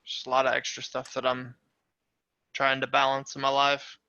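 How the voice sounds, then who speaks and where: Wry male student, bright gravelly voice, dry and slightly muffled call audio. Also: mid-range voice, completely flat delivery, normal speed, no room sound. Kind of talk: casual chat on a video call. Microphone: video call.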